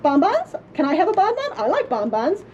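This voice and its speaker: A woman voicing eager, greedy dinosaurs in a storybook, gloating and pleading excitedly. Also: very high voice, brisk, muffled recording, slight background noise, exaggerated voice. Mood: greedy anticipation.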